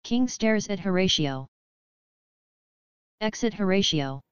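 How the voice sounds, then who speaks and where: A woman's voice: mid-range voice, animated, slow, clean tone, dry recording. A woman reading stage directions as scripted narration for an audio play. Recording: dry, slightly dull close recording.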